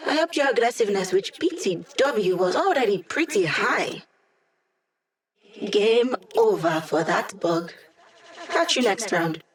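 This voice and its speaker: An actress voicing a cocky, playful young female hacker who guides a squad in a video game, with a teasing, breezy manner. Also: mid-range voice. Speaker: hacker and mission support. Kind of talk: video game character voice lines.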